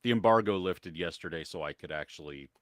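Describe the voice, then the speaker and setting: Chatty low-voiced American man. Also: a little fast, a crowd in the background. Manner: chatty, laughs often, many fillers. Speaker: podcast co-host. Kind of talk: casual live-stream chat.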